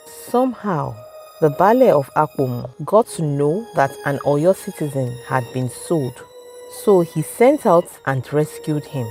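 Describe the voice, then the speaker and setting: Nigerian lady narrating a folk story, slow dramatic delivery, very animated pitch. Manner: dramatic, measured phrasing. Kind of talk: scripted folktale narration. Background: music.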